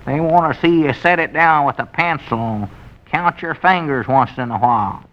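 An actor playing a simple-minded, suspicious old country storekeeper in an old-time radio comedy, with a rural Southern accent and querulous, naive talk. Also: male voice, fairly high pitch, normal speed, natural voice. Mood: suspicious grumbling. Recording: muffled vintage radio recording.

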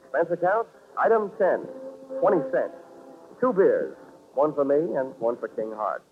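An American actor playing a wry, hard-boiled investigator in a 1940s radio drama, clipped and sardonic, on a tinny old recording. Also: male voice, fairly high pitch, sing-song, a little slow. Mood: dry, wry. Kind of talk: 1940s radio detective acting, dry first-person narration.

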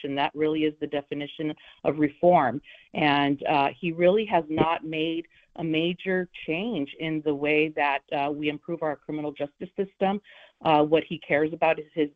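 A woman from Los Angeles County, a prosecutor running for office, speaking firmly and deliberately as a radio guest. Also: low voice. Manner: firm, measured, with frequent "uh" fillers. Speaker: prosecutor and political candidate. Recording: phone line.

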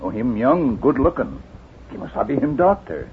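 An actor playing a loyal, plain-spoken sidekick in a 1950s radio western, speaking in halting, clipped broken phrases. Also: male voice, medium pitch, big pitch swings, natural voice. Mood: reporting, earnest. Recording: tinny, noisy 1950s radio recording.

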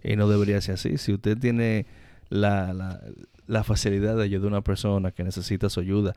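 A man from the Dominican Republic talks with a Dominican accent in a loose, slangy, conversational style. His voice is fairly low and mellow, recorded dry, and he often doubles back on his words. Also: level tone, a little fast, clear, resonant tone, no room sound. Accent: Dominican Spanish. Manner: informal, slangy, repeats words as he goes. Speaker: podcast co-host. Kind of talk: casual conversational chat.

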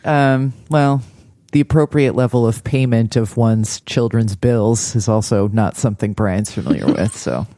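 A woman's voice: a bass voice, measured pace, dark tone, no room sound. A woman co-hosting a casual TV recap podcast with a dry, blunt, sardonic delivery. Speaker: podcast co-host.

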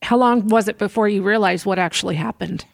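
A woman's voice: mid-range voice, fairly quick, gravelly, dry recording, studio quality. A woman interviewing a guest, asking short conversational questions and prompting him to go on.

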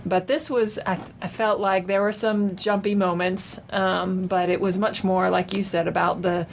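A woman chatting casually and unscripted as a guest giving a film review, with a hesitant, halting delivery. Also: medium pitch, flat delivery, vocal fry. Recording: noticeable background noise.

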